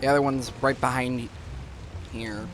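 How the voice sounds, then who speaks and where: A man's voice: mid-range voice, steady tone, measured pace, low-quality recording. A man giving casual commentary as the host of a gaming video. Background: game music.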